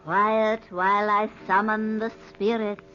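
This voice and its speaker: An actress playing a haughty countess who holds seances, grand and commanding in old-time radio horror style. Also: female voice, medium pitch, little pitch movement, bright, forceful tone, soft background music. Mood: solemn, invoking. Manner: grand, slow, commanding incantation. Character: imperious countess medium, theatrical, haughty, summoning spirits. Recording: dull vintage radio recording.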